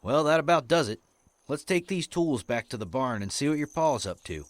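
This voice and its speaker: A man's voice: medium pitch, big pitch swings, normal speed, close to an everyday voice. A man playing a modest, polite, folksy cowboy in a comic western audio drama. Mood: modest, gentle. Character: modest, polite cowboy stranger turned ranch hand.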